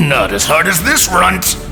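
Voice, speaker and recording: A man playing a savage, sadistic mutant villain in an audio drama, taunting with a snarling, menacing rasp. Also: fairly high pitch, full character voice. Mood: mocking. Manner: menacing, mocking, drawn-out. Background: dramatic music.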